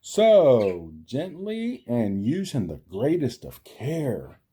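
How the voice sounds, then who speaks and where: Man, children's read-aloud, very animated pitch, slow dramatic pacing, mellow tone. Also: husky. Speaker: storybook reader for children. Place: outdoors.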